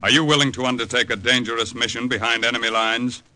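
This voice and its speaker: A man's voice: medium pitch, steady tone, fast, no room sound. A man announcing a 1950s radio drama in a portentous, dramatic style. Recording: vintage radio broadcast recording. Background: loud orchestral music with timpani.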